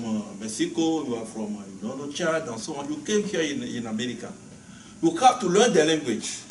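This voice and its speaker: A man's voice: a little high, a little mellow. Beninese man speaking English in an echoey hall. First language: Ewe. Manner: halting, repeats words while searching for phrasing. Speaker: guest speaker. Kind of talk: lecture and Q&A answers. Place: lecture hall. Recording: echoey hall, voice from far off, faint noise.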